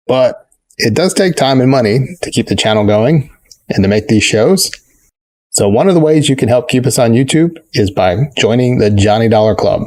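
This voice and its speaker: A man's voice: medium pitch, darkish tone. A man hosting a channel, giving a scripted, conversational appeal straight to his listeners. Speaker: channel host.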